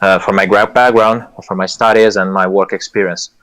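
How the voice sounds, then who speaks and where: A man's voice: lowish voice, flat delivery, normal speed, fairly bright tone. A man whose first language is Italian, a project lead speaking as a guest in a live audio chat, hesitant with many fillers and restarts. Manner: earnest, self-deprecating with light laughs.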